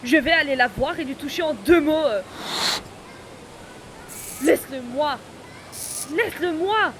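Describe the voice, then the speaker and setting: A woman voicing a bossy, scornful, lovestruck schoolgirl in a French animated fan dub. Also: high voice, unhurried, noisy background.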